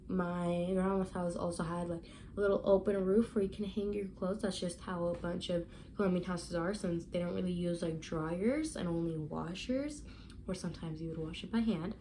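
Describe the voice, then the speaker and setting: A woman's voice: lowish voice, mellow, a little hiss or hum. A young woman from the United States telling a long personal story to her viewers in a casual, chatty way, full of fillers and asides to the audience. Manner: chatty, addresses viewers as y'all, many fillers. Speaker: vlogger.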